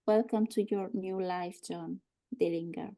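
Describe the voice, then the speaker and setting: Smug government agent in nurse guise, played by a woman: unhurried, mellow, gravelly edge, condescending table-read delivery. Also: on the low side, steady tone. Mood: smug, cajoling. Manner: deliberate, condescending, mock-soothing.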